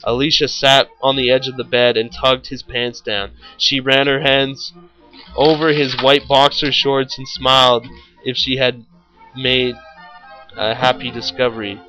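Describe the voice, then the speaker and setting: A man's voice: mid-range voice, little pitch movement, a little slow, bright, forceful tone, faint music behind, low-quality recording. A man reading a story aloud in a theatrical, mock-serious way. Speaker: story reader, show host.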